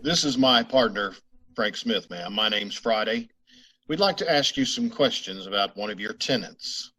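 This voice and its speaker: A man's voice: fairly low pitch, normal speed, bright, forceful tone, breathy edge. A man playing a terse, matter-of-fact police detective sergeant in an old-time radio drama, in short clipped sentences. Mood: polite, official.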